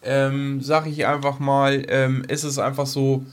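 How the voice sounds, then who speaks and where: A man's voice: mid-range voice, monotone, a little slow. A man chatting casually as a podcast co-host, rambling informally and talking straight to the listeners.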